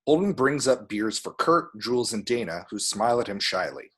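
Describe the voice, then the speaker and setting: A man's voice: fairly low pitch, expressive, normal speed, a bit bright, breathy edge. A man reading screenplay action and scene headings as narration for an amateur table read. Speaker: reader of screenplay action description.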